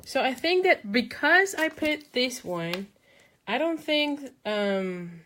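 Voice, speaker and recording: A woman's voice: high voice, sing-song, slow pace, background noise. A woman from Mexico, who speaks natively, hosting a live craft demonstration, thinking aloud as she works and answering viewers' comments. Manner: chatty, thinking aloud while she works, many ums. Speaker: crafting host.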